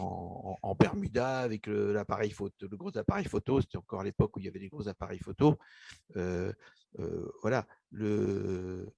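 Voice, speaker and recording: Gravelly, husky older man, hesitant. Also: on the low side, no echo. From Egypt. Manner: hesitant, many restarts and fillers, warm storytelling. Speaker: author interviewed about his book. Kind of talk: casual interview, personal memories.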